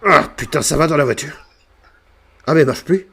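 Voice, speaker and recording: A man's voice: on the high side, brisk, gravelly, a bit bright, breathy edge. A man improvising game roleplay banter in a cheeky, swearing, provocative way on a gaming microphone. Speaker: video creator playing a prankster in online roleplay.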